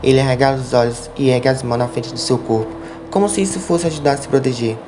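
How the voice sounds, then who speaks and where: A man's voice: mid-range voice, quick pace, background noise. A man reading scripted narration as the narrator of an audio drama. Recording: loud music under the voice.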